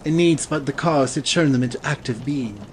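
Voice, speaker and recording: An actor playing a suave, languid gentleman swordsman in a dramatized audiobook, speaking in a courtly, formal way. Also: mid-range voice, moderate pace, a little hiss or hum, natural voice. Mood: playful. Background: ambient sea sound bed.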